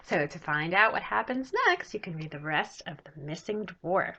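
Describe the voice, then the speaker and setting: A woman in her thirties reading a children's story aloud in an expressive way, as an author would. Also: lowish voice, normal speed, slight background noise. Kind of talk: storybook read-aloud, scripted narration. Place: small home room, indoors. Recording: dull lo-fi webcam audio.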